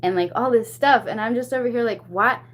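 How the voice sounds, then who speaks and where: Animated, chatty woman telling a story. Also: fairly high pitch, fairly quick. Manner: animated, chatty retelling with acted-out quotes. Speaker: livestream host. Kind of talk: casual anecdote to stream viewers.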